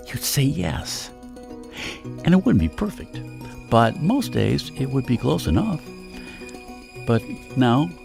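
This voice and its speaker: Wistful old dad, slow and creaky. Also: male voice, medium pitch. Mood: rueful, wry. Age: older. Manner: halting, wry, dramatic monologue. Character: wistful older father confessing from near death. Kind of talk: comic audio drama acting.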